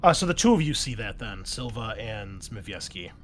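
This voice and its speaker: A man's voice: on the low side, big pitch swings, normal speed, fairly bright tone, soft background music. A man with an American accent working as a game master, describing scenes in a conversational way and chatting casually with players. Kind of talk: improvised tabletop game narration with casual table talk.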